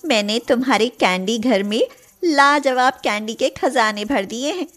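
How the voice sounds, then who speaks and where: A woman voicing a tiny, playful, kind magical genie girl in an animated fairy tale, with a reassuring manner. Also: a little high, measured pace. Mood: kindly.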